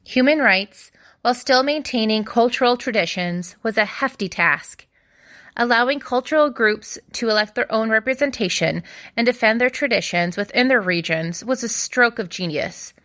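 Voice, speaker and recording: Female history podcast host played by an actress in a fiction podcast: bright, forceful tone, measured explanatory delivery with opinionated asides. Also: fairly high pitch, expressive. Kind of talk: scripted podcast narration.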